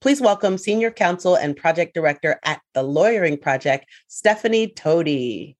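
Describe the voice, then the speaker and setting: A woman's voice: lowish voice, animated, moderate pace. A woman co-hosting a podcast, emphatic and wry, opening the show and introducing a guest. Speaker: podcast co-host. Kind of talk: casual chat, introducing a guest.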